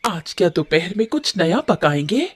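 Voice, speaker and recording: A woman voicing a caring village wife in a cartoon story, gentle and encouraging. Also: lowish voice, very animated, normal speed, husky.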